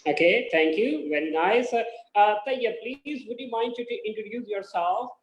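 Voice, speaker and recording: A man's voice: high voice, normal speed, dull audio. A man from Pakistan, an English teacher, introducing himself and his students with many hesitations. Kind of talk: self-introduction on a video call. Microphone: video call. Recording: echoey room on a call.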